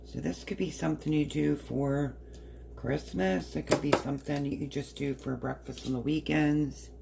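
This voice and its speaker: Hoarse, gravelly woman cooking at home. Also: low voice, steady tone, moderate pace, noisy background. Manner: chatty, explains step by step while working. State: hoarse, partly lost voice. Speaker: home cook. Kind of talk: casual cooking demonstration. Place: home kitchen.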